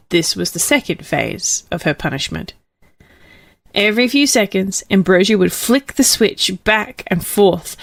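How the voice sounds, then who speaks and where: A woman narrates a storybook as an audiobook reader. Her voice sits at a medium pitch. The recording is dry, with hardly any room sound around her words. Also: dry recording.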